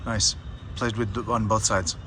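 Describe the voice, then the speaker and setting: A man's voice: on the low side, fairly even intonation, moderate pace. A man working as a travel interviewer, casually asking brief questions and giving short appreciative replies. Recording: loud background noise and clicks.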